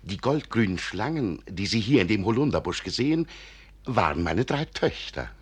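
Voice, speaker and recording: Old wizardly archivist, heavily rasping, wildly varied intonation, chuckling, exclamatory, radio play. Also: male voice, on the low side, sing-song. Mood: sly amusement. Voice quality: raspy, rough-edged. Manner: chuckling, exclamatory, theatrically deliberate. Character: eccentric older wizardly archivist, blustering and slyly amused. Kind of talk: German classic radio play acting.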